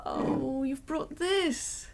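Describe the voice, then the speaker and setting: A woman playing an affectionate, distracted middle-aged English wife in a naturalistic radio drama, with a husky voice. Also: high-pitched, drawn-out words, heavily acted. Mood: distracted, breezy.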